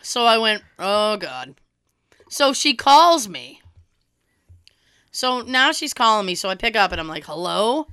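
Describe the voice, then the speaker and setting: Hoarse young woman telling a story. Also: medium pitch, a little slow, long pauses, no echo, studio quality. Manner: animated, swearing, acts out quoted dialogue. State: hoarse from a cold. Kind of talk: casual storytelling chat.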